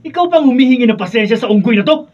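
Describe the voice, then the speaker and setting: A man's voice: very high-pitched, lively intonation, racing, darkish tone. A man with a Filipino accent playing a hot-headed, cocky husband in a radio drama. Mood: furious, defiant. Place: radio drama recording studio. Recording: muffled, noisy behind-the-scenes studio video, distant mic.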